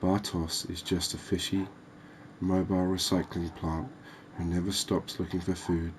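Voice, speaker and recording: Male ASMR game host, soft and unhurried, very low register, monotone delivery. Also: slight background noise. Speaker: gaming video host.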